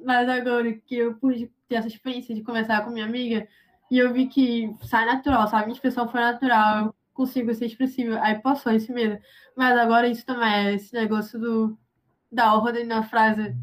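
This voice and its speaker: A woman's voice: a little high, flat delivery, brisk. A young woman with a Brazilian Portuguese accent answering interview questions in a hesitant way, with many fillers. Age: young adult. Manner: hesitant, frequent fillers and restarts. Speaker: interviewee, university student.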